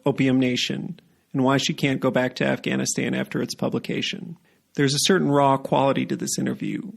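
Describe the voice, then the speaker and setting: A man's voice: mid-range voice, creaky. A man hosting a podcast, reading a scripted introduction and then asking questions in an earnest, carefully paced way. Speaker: podcast host.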